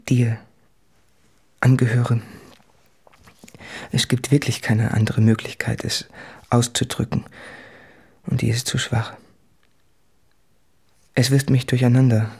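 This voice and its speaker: Ein Schauspieler spricht in einem literarischen Hörspiel einen rastlosen, von Zweifeln gequälten, verliebten Schriftsteller, innig und nach innen gekehrt. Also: male voice, mid-range voice, level tone, takes it slowly, vocal fry, pauses often, a little mellow, breathy edge, heavily acted. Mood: anguished longing. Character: restless, anguished, self-doubting male writer in love. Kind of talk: literary radio play monologue.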